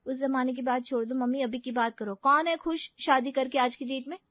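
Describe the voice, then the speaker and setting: High-voiced, complaining young daughter played by a woman. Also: fairly even intonation, fairly quick, dry recording, highs cut off. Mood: exasperated. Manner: complaining, dramatic. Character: outspoken, cynical daughter, unmarried working woman in her twenties. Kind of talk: Hindi audio drama acting.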